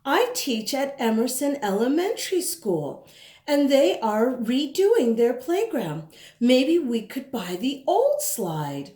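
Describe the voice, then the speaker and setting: A helpful neighbor woman from a storybook, read by a woman, offers practical suggestions in a high voice. She is friendly and unhurried, in an echoing room. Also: big pitch swings, warm tone, heavily acted. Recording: roomy, echoing sound.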